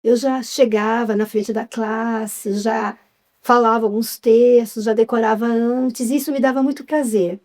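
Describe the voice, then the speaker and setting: A middle-aged woman from Jundiaí with a São Paulo state accent, telling her story as a guest in a chatty, relaxed interview. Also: fairly high pitch. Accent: Brazilian Portuguese, São Paulo state. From Jundiaí, Brazil. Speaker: guest, writer and actress. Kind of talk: casual interview.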